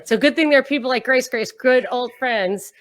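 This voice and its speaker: A middle-aged woman, a podcast guest on a video call, chatting in an animated, self-mocking way. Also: high-pitched, normal speed. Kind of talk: casual chat.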